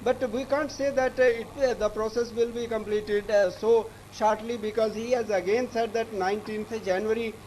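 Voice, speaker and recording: A man with an Indian English accent giving a live news report in a halting, repetitive way. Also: sits high, fairly even intonation, a little mellow, slight background noise, poor audio. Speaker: news correspondent.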